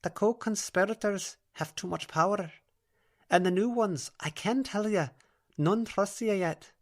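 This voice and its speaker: A woman's voice: medium pitch, unhurried, voice pushed up. A woman voicing a soothing, earnest female dream-walker with a broad Scottish accent in an audiobook. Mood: warning.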